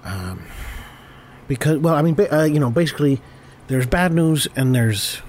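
A man with a cold, hoarse and stammering, hosting an improvised comedy podcast and constantly correcting himself. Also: on the high side, animated, moderate pace, darkish tone, a little hiss or hum. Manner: rambling, stammering, self-correcting. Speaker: podcast host.

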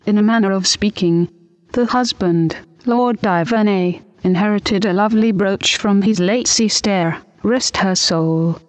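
A woman's voice: medium pitch, a little slow. A synthetic computer voice playing a prim, easily offended French noblewoman with a formal, stilted delivery. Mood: aggrieved. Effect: computer text-to-speech voice.

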